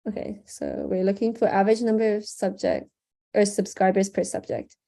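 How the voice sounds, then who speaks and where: A young woman runs a live video-call tutorial through a headset, talking in a loose, casual way full of ums and likes. Her voice sits on the mellow, darker side, and a sore throat keeps her clearing it. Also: mid-range voice, little pitch movement, moderate pace. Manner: casual, chatty, frequent "um" and "like". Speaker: workshop instructor. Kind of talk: live online workshop screen-share tutorial.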